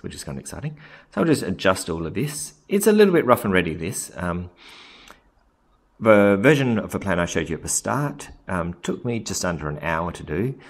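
Low husky man narrating a tutorial. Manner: relaxed, thinking aloud with many ums and self-corrections. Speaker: software tutorial presenter. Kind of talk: casual screen-recording tutorial.

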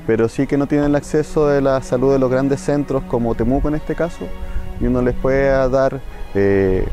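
Mellow young Chilean man. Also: mid-range voice. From Santiago, Chile. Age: young adult. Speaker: doctor. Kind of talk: interview testimony. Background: faint music.

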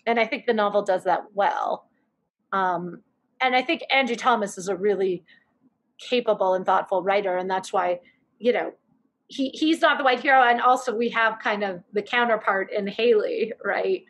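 A woman in her forties leading a reflective academic book discussion over a video call from her living room, speaking in a thoughtful, measured way. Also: medium pitch, fairly bright tone. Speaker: discussion facilitator. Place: living room with couch, indoors.

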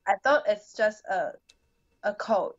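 A woman's voice: medium pitch, animated, no room sound, muffled recording. Hesitant teenage girl on a video call. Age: teens. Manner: halting, searching for words, simple grammar. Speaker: high school English student. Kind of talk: conversation practice in a lesson. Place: small indoor room at home. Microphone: laptop webcam on video call.

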